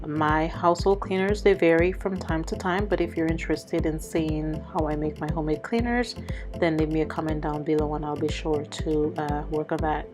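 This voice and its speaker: Female vlogger, low register, a touch hoarse with a cold, chatty step-by-step household narration over music. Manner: conversational, explanatory. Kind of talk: casual cleaning vlog narration. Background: background music.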